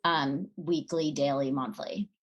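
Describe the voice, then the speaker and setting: A woman with a notably low voice co-hosting a casual podcast. She speaks in an earnest, reflective way, thinking aloud with plenty of fillers as she goes. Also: steady tone, slow pace, highs cut off. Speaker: podcast co-host.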